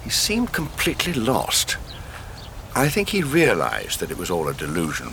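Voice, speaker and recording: An actor playing a grave, kindly English doctor in a radio drama, speaking in a measured, formal, explanatory way. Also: male voice, low-pitched, huge pitch swings, creaky, noisy background, exaggerated voice. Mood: grave recounting.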